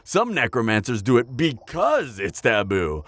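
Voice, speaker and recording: A man playing a chatty, pragmatic necromancer in an audio roleplay, talking casually and a little haltingly straight to the listener. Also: medium pitch, huge pitch swings, a bit bright, no echo. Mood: chatty, amused. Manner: casual, hesitant asides, frequent chuckling. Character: chatty, upbeat, pragmatic male necromancer, former tailor. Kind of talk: conversational roleplay addressed to the listener.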